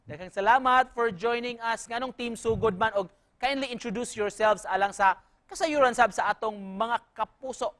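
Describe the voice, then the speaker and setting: A man's voice: very high-pitched, brisk, a bit bright. A man with a Cebuano accent hosting a live morning show, upbeat as he greets guests in Cebuano and English. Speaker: news program host.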